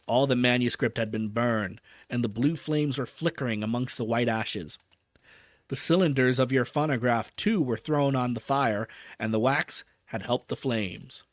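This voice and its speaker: A man's voice: medium pitch, normal speed, dry recording, close to an everyday voice. A man doing a subdued young nobleman. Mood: grim. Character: sober young nobleman reporting grimly.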